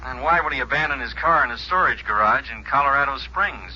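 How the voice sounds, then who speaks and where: An actor playing a brisk, matter-of-fact American insurance company man, clipped, in 1950s radio drama style. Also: medium pitch, pushed and bright, husky, highs cut off. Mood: businesslike, urgent.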